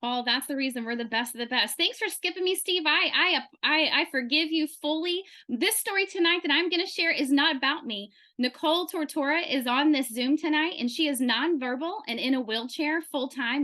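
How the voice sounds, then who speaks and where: High, bright, emphatic woman. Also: brisk, hardly any pauses. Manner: punchy, insistent short sentences. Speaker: meeting participant sharing another member's testimonial. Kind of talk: emphatic testimonial storytelling. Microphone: video call.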